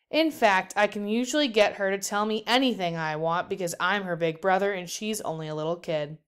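A woman's voice: mid-range voice, smooth. A woman narrating a children's book aloud as a storyteller.

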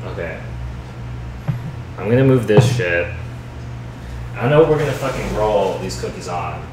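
A man with a fairly low voice rambles aloud in loose, sweary live commentary. He sounds distant from the microphone in a home kitchen, with very loud noise and clicks around him. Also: lively intonation, moderate pace, echoey room. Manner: rambling, muttering to himself, frequent swearing. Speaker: live-stream host. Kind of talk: casual live cooking commentary, thinking aloud.

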